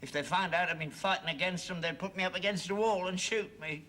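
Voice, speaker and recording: Cantankerous old London father, sharp-voiced. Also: high-pitched, fast. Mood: indignant. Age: older. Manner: grumbling, blunt, indignant. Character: cantankerous, stubborn, patriotic old working-class father. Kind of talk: British sitcom acting.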